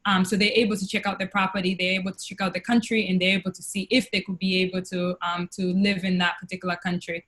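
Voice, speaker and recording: A woman's voice: medium pitch, flat delivery, a bit bright. Young tanzanian-born woman, lots of ums and restarts, talking on a video call. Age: young adult. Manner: halting, many fillers and restarts. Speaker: webinar guest, investor. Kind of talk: casual testimonial on a webinar.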